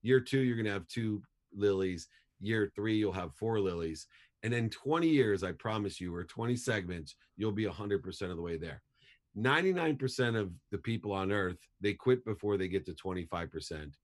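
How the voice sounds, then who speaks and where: Brief: man in his fifties, lowish voice, punchy motivational guest who hammers his points home. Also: animated, moderate pace. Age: 50s. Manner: emphatic, punchy, repeats key phrases for effect. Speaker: guest, entrepreneur and motivational speaker. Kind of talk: energetic motivational storytelling.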